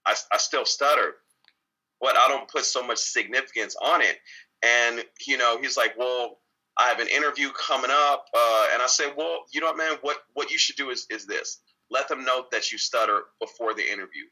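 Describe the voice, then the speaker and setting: A man's voice: medium pitch, moderate pace, pushed and bright, poor audio. A man speaking as an interview guest in a candid conversation, reflective and earnest, often repeating words and restarting sentences. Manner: talks openly with frequent repeated words and restarts.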